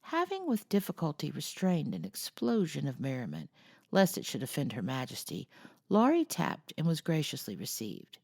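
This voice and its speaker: A woman reading a classic novel aloud as expressive storybook narration. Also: low voice, moderate pace, gravelly, slightly rough, dry recording, studio quality. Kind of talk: expressive scripted audiobook narration.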